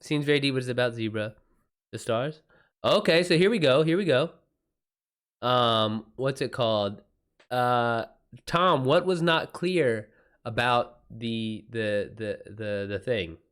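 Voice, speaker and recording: Man, livestream host, medium pitch, casual chat, slangy, freely sweary. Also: expressive, slow pace, fairly bright tone, smooth.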